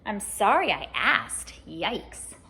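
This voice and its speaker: Expressive, slow-paced woman storyteller. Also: medium pitch, gravelly. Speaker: storybook reader. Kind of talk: expressive children's read-aloud narration.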